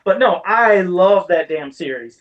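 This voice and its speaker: A man's voice: high-pitched, expressive, measured pace, muffled recording. A man co-hosting a podcast, talking casually as an opinionated fan who swears freely and rants a little. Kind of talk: casual chat about movies.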